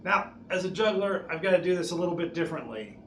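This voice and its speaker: A man's voice: high voice, expressive, fast talker, a bit bright. A middle-aged man hosting as a juggler, with theatrical showman patter addressed to an audience. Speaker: juggler and event host. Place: small bare indoor room. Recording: echoey room with background noise.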